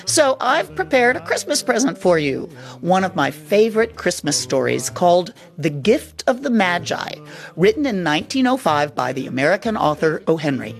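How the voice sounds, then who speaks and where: A woman's voice: fairly low pitch, very animated, moderate pace, soft background music. A woman hosting a podcast, delivering a scripted introduction. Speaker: podcast host.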